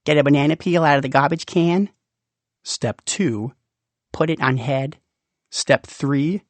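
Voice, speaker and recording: A man narrating a children's audiobook in an expressive, playful way with dramatic pauses. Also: on the high side, dry recording. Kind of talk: expressive scripted storybook narration.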